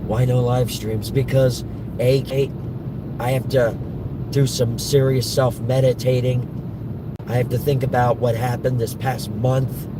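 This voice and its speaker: A man with a worn-out, hoarse voice chatting haltingly to his stream viewers while driving. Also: little pitch movement. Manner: halting, restarts often, defensive. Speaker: live streamer answering chat. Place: in a moving car. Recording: loud car road noise. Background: road and engine rumble.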